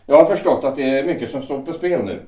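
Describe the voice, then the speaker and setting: A man's voice: mid-range voice, dark tone, roomy sound, far from the mic, natural voice. An actor playing a working man who defends joining the party to his brother, earnest and persuasive. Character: shipyard foreman turned party loyalist, brother. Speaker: Erich, older brother. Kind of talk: amateur audio drama acting.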